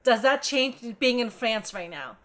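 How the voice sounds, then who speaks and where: A woman co-hosts a relaxed interview, cutting in with short questions that clarify what was said. Her voice sits a little high. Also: a little slow, a crowd in the background. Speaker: co-host. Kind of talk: casual conversation.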